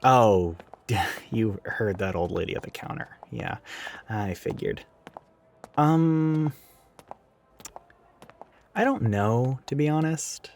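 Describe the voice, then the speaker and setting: A man's voice: mid-range voice, takes it slowly, long pauses, slight background noise. A man playing a chatty, sarcastic, gender-ambiguous retail coworker who goes by she, in a casual, rambling audio roleplay addressed to the listener. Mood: candid, wry. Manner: rambling, sarcastic, flippant, with sighs and chuckles.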